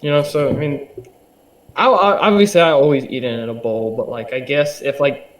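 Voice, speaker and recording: A man's voice: medium pitch, normal speed, rough recording. A young man, a podcast guest, chatting casually in a hesitant way with lots of fillers and eager restarts. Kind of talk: casual interview chat.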